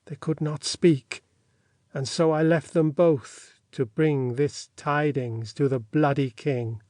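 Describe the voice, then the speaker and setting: Higher-voiced man narrating an audiobook, dry sound. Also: steady tone, takes it slowly, a little mellow, no room sound. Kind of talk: scripted audiobook narration, dramatic literary reading.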